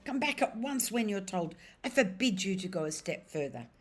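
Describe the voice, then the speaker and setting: A woman voicing a timid, fussy elderly man who scolds in a shrill voice. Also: lively intonation, moderate pace, close to an everyday voice. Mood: scolding.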